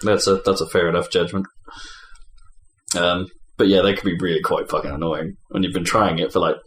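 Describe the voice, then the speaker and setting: A man's voice: low voice, flat delivery, fairly quick, fairly bright tone. A man hosting a casual gaming podcast, talking in an informal, sweary way. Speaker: podcast host. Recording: roomy echo, faint background noise.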